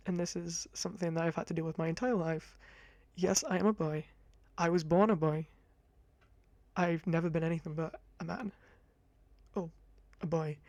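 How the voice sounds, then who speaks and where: A young man in his twenties with a high-pitched voice, rambling casually to camera in a chatty, self-deprecating ASMR talk on a close mic. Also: a little mellow, no echo. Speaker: ASMR creator. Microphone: close condenser mic.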